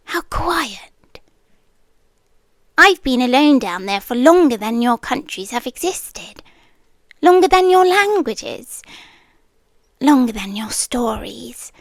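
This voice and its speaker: A woman's voice: sits high, no room sound, studio quality, exaggerated voice. A British woman voicing an ancient, possessive siren captor, sweetly menacing, in an intimate roleplay ASMR monologue that often drops toward a whisper. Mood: lonely, haunting. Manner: slow, coaxing, often dropping into whispers.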